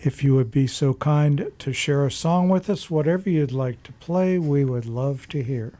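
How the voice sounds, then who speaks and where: A man hosts a live radio show from a studio, his tone mellow and a little high. He asks his guest relaxed, friendly questions. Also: normal speed. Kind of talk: studio interview.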